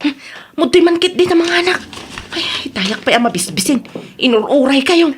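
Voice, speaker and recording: A grudging village housewife acted by a woman with a fairly high voice and a Filipino Ilocano accent. She is an envious, reluctant grumbler in a radio comedy drama. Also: lively intonation, moderate pace, slight background noise. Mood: grumbling.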